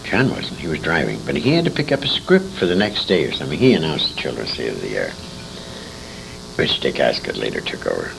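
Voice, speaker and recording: An older man reminisces in a salty, rambling, emphatic way. His voice is creaky and swings very widely in pitch. It is a casual interview on a clearly noisy amateur recording. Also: sing-song, quick pace. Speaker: interviewee, old-time radio veteran.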